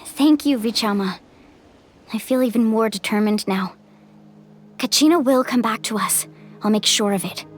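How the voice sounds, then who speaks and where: An actress playing a determined, friendly young heroine in a video game. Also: female voice, a little high, normal speed, pauses often, soft background music, lightly acted. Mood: resolute.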